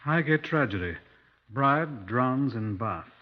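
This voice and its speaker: A British man playing a composed, probing police inspector in a 1950s radio drama, speaking formally and with measured care. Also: mid-range voice, animated. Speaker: actor in a radio crime drama. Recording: dull, muffled 1950s radio recording.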